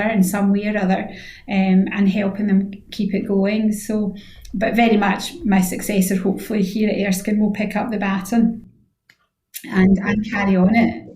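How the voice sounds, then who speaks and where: A woman's voice: mid-range voice, little pitch movement, normal speed, mellow. A Scottish woman in her sixties giving thoughtful, wordy answers as a guest in a conversational radio interview, often repeating her phrases. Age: 60s. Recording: echoey room sound.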